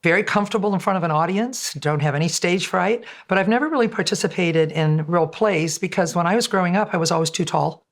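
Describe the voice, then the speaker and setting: A woman in her eighties chats confidently about herself. Her voice sits somewhat low. The talk is relaxed and self-assured. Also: fast talker, hardly any pauses. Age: 80s. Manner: confident, chatty. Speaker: amateur actor. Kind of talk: documentary interview.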